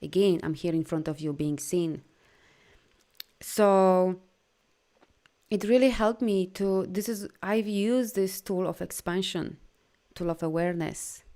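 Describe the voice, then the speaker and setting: A woman's voice: fairly low pitch, mellow. A middle-aged woman with a Polish accent, talking earnestly as a life coach in a live video. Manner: earnest, heartfelt, halting non-native phrasing.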